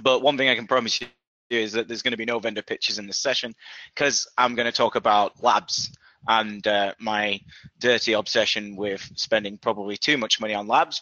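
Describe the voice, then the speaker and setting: A man's voice: on the low side, sharp tone, no echo, lo-fi sound. A man in his thirties with a Yorkshire accent, presenting casually as a guest on a web call, chatty and self-deprecating. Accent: Yorkshire English. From Yorkshire, England. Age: 30s.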